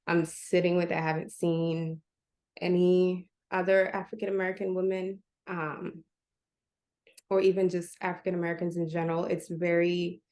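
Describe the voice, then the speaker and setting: A woman's voice: lowish voice, completely flat delivery, moderate pace, a little mellow. A young woman from Kenya telling her story on a video call from home, thoughtful, with frequent fillers. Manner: thoughtful, with frequent likes and ums. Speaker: patient sharing her story. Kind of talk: reflective interview answers. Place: home room with curtains. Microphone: video call webcam.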